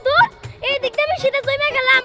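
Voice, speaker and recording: A woman voicing a cheeky, complaining young cartoon daughter with a squeaky voice and a Bangladeshi accent. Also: steady tone, fast talker. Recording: loud music bed behind voice.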